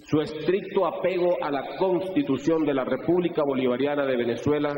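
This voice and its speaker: A man formally reading an official statement in a measured, listing cadence. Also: a little high, mellow, a little hiss or hum. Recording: echoey hall with long reverb.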